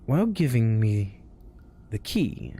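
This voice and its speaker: Man, spooky storyteller, hushed, whispering, slow-paced. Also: fairly low pitch, very animated, husky. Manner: hushed, often dropping to a whisper. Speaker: storyteller host. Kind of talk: reading spooky stories aloud.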